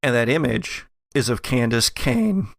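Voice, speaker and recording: Man, game master, slow pace, creaky voice, dramatic horror narration, deliberate phrasing. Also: medium pitch, expressive, dry recording, clean studio sound. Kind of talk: narrating a horror tabletop role-playing game.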